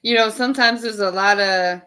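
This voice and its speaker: A woman hosting a podcast live stream in a loud, animated casual chat. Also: mid-range voice, normal speed, clear, resonant tone. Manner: loud, animated, laughs often. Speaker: podcast host. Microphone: live stream setup.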